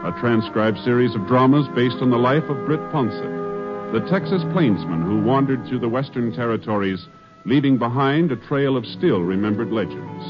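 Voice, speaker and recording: A man's voice: mid-range voice, animated, moderate pace. Male 1950s radio announcer, formal and emphatic, sweeping intonation, muffled broadcast with music.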